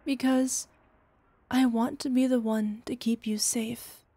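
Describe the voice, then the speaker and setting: A woman acting in an ASMR roleplay as a devoted, playful older girlfriend, with a soft, tender, teasing manner. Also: fairly high pitch, level tone, slow pace, slightly rough, no echo, heavily acted. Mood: concerned, tender. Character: devoted, playful, smitten older girlfriend soothing her lover.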